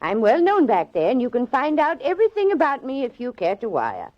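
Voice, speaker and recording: A woman's voice: sits high, wildly swooping pitch, a little fast, no echo, full character voice. An actress playing a spirited, eccentric elderly small-town lady, prim, chatty and insistent, in a 1940s radio drama. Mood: brightly innocent. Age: older. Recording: dull vintage 1940s radio recording.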